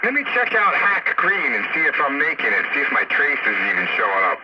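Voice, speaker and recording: A man's voice: fairly high pitch, big pitch swings, fairly quick. An American man chatting casually as an amateur radio operator over a radio link. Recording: dull, very noisy radio transmission. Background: heavy radio noise.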